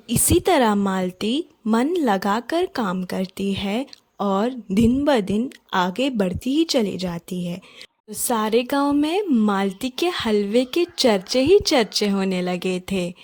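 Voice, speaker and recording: A woman narrating a children's cartoon story in a gentle, plain storytelling manner. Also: medium pitch, lively intonation, takes it slowly.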